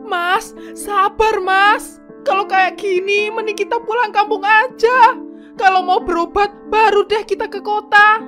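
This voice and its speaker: Woman playing a worried cartoon wife, squeaky high and bright, music under it. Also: fairly even intonation, unhurried, full character voice. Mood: soothing. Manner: pleading, complaining. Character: young wife, anxious and long-suffering. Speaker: Hesti. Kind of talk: cartoon voice acting.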